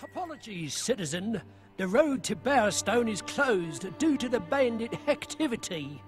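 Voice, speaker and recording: Town guard for a fantasy game: officious yet casual, apologetic, very animated intonation. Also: male voice, sits high, normal speed. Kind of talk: video game character dialogue. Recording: faint music underneath.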